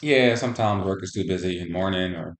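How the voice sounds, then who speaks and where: A man's voice: sits low, quick pace. A man answering health interview questions as a patient, in brief, plain replies. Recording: roomy, echoing voice pickup.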